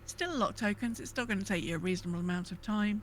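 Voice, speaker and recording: A woman's voice: medium pitch, very fast, a little hiss or hum. A woman co-hosting a podcast in casual gaming chat, thinking aloud in a hesitant, rambling way. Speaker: podcast co-host.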